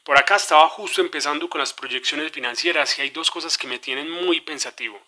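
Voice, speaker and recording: A man's voice: a little high, fairly quick, sharp tone. A man playing a worried, businesslike executive in a scripted podcast scene, speaking with urgency. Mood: worried, resolute. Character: worried businessman anxious about dollar swings, pressing and decisive. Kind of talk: scripted podcast dramatization, one side of a call.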